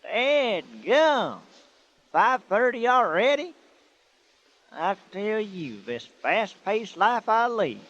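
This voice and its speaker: A folksy manure hauler chatters to himself in a Southern US accent. His voice sits fairly high and swings widely with excitable exclamations, on a clearly noisy radio-comedy recording. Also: extremely slow, muffled recording, heavily acted. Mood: groggy, resigned. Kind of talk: old-time radio comedy acting.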